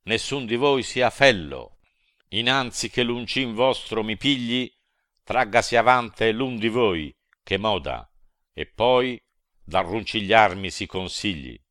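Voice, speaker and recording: A man voicing a wise old guide who speaks calmly and solemnly to reassure his companion. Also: fairly low pitch, slow pace, no room sound, studio quality. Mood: firm. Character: wise old male guide, calm and steady.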